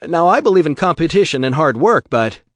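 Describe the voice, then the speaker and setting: A man's voice: big pitch swings, fairly quick, no room sound. A man doing a frantic pleading shopkeeper. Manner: high, strained, frantic pleading. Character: desperate middle-aged male shopkeeper, pleading.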